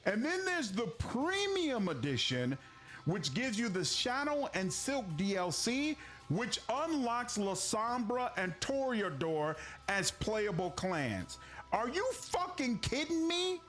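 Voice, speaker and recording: Higher-voiced man, gaming creator, exasperated and explanatory, casual talk. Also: very animated, slow, a bit bright, faint music behind. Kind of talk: casual news commentary.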